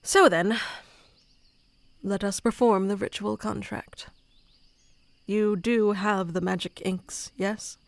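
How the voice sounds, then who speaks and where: A woman's voice: medium pitch, fairly even intonation, slow, vocal fry, pauses often, dry recording. A woman voicing an obsessive, clingy and powerful female kitsune familiar in an intimate, theatrical ASMR roleplay. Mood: composed, instructive. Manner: intimate, theatrical, swinging between hushed and pleading.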